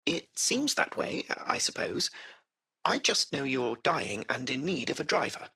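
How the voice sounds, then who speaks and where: An artificial-intelligence car driver from a video game. The voice is rough and breathy with a gravelly creak, and it swings widely in pitch. The phrasing is formal and courteous, yet hesitant and anxious, taken at an unhurried pace. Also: male voice, big pitch swings, pushed and bright, full character voice. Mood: courteous, composed. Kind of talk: acted video game dialogue.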